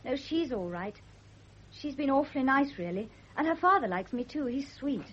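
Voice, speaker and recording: A woman's voice: high voice, lively intonation, moderate pace, a bit bright, husky, exaggerated voice. A woman playing a naive young British wife in a radio drama, with a girlish, eager manner. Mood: chatty, defensive. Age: young adult. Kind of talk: 1970s radio drama acting. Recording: dull lo-fi old radio recording.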